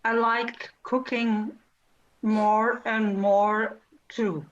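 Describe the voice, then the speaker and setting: A woman speaks very slowly and haltingly, her phrasing simple. Her voice comes through dull call audio with faint background noise. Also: fairly high pitch, flat delivery. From Germany. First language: German. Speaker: participant. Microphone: video call.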